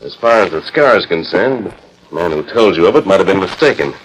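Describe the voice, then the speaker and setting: A man playing a resolute, fair-minded western hero in a 1940s radio drama, with measured, authoritative, formal diction. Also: deep voice, lively intonation. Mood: resolute, serious. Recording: noisy 1941 radio transcription disc.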